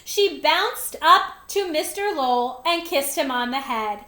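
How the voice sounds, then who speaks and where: High-voiced woman reading a storybook aloud. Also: animated, measured pace, pushed and bright, echoey room. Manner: dramatic, emphatic phrasing. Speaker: storybook reader. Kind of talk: expressive children's read-aloud.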